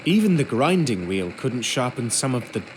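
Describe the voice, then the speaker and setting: An actor playing a polite, earnest young ship's steward in a period video game, speaking in articulate, measured words. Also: male voice, medium pitch, very animated, background noise, lightly acted. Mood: reflective, wry. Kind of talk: video game character acting and first-person narration.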